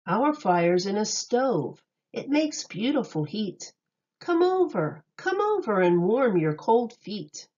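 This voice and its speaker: A woman reading a rhyming picture book aloud in an expressive, sing-song way meant for young children. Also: mid-range voice, slow, muffled recording.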